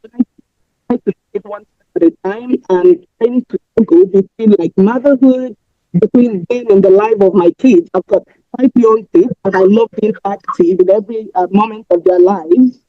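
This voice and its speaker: A woman's voice: mid-range voice, moderate pace, no echo. A woman, chatting as a podcast guest, talking in a rambling way with fillers and restarts. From Nigeria. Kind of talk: casual interview answers. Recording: slightly muffled dry audio.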